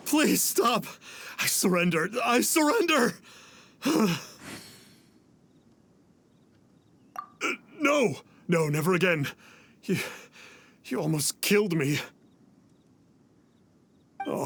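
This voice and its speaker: Theatrical, affected charlatan, swooping intonation. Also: male voice, high-pitched, vocal fry, a bit bright, full character voice. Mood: panicked pleading. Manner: affected, pompous, calls himself "one", melodramatic. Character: pompous male fraud exorcist's spirit, theatrical and cowardly. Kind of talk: anime-style video game voice acting. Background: music.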